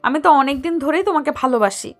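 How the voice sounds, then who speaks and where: A woman acts out a shy young doctor who is newly married and in love. Her voice is very high, with a coy, reserved and gently scolding way. Soft music runs faintly behind this romantic story dialogue. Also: animated, fast talker. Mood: tender, confiding.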